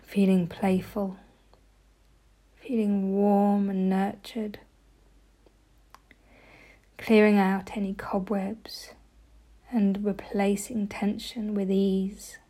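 A woman leading a guided meditation with soft, gentle, often breathy delivery, giving soothing instructions. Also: medium pitch, little pitch movement, drawn-out words, long pauses.

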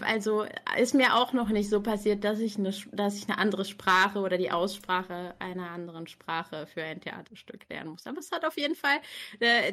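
A woman's voice: fairly high pitch, a bit bright. Chatty, laughing young woman. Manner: chatty, laughing often, self-mocking. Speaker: author and reading coach. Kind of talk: casual livestream chat. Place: warm room at home.

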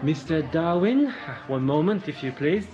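An obsequious head waiter. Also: male voice, fairly high pitch, animated, unhurried, lo-fi sound, over music. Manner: obsequious, hesitant. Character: deferential hotel head waiter. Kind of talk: radio drama acting.

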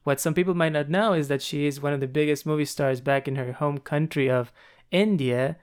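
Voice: medium pitch, a little fast, smooth. A podcast host reading a rehearsed, upbeat introduction and then interviewing a guest.

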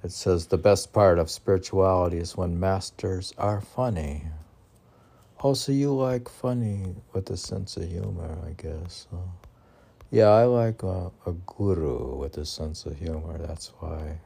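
This is a man with a very deep, dark, creaky voice. He talks slowly and roughly, in an informal poetry-reading style. He rambles conversationally, putting questions to himself and then answering them. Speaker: poet reading and commenting on own poems.

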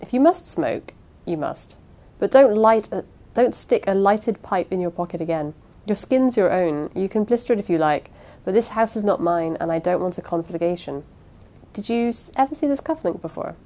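A woman's voice: mid-range voice, lively intonation, moderate pace, dark tone, close to an everyday voice. A British woman voicing a stern, proper lady of the house from a storybook, firm and reproving in manner. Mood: stern reproof.

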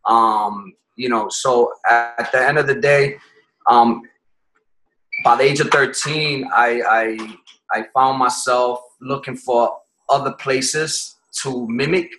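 A man from Puerto Rico, a podcast guest telling his life story in a reflective way, often pausing and restarting his phrases. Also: medium pitch, steady tone, pushed and bright, a little hiss or hum, low-quality recording.

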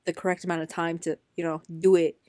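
A woman's voice: fairly low pitch, flat delivery, quick pace, darkish tone, no room sound. Woman in her twenties, emphatic slangy co-host, very dry close mic. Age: 20s. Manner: emphatic, slangy, says "bro". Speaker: podcast co-host. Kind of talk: casual chat.

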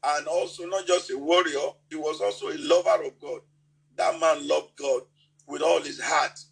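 A man with a Nigerian accent preaching a sermon, halting and often repeating words as he restarts his sentences. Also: fairly high pitch, fairly even intonation, gravelly, a bit bright, low-quality recording.

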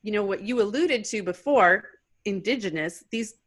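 A woman's voice: medium pitch, expressive, moderate pace. A woman, hosting an interview and asking thoughtful questions for parents. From rural Wisconsin, US Midwest. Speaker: podcast host. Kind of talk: conversational interview.

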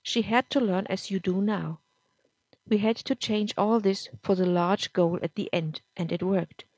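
A woman reading a storybook, voicing a calm, wise council spirit of dust in a soft, measured, reassuring way. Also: medium pitch, dry recording, low-quality recording. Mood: soothing.

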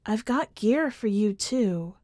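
A woman's voice: mid-range voice, expressive, takes it slowly, darkish tone, dry recording, clean studio sound. Woman acting a defiant, vengeful, emotionally raw woman. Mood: defiant, taunting. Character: traumatized, vengeful, defiant woman, bitter and daring.

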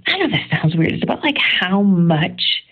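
A woman's voice: on the low side, very animated, moderate pace, breathy edge, phone-quality sound. A middle-aged woman giving an informal, earnest devotional reflection on scripture in a conversational, emphatic way.

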